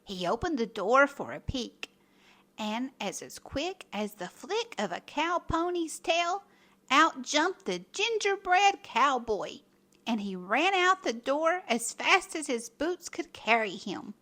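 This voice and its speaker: High, very animated woman storyteller. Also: takes it slowly. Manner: expressive, dramatic emphasis. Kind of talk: scripted children's story read-aloud.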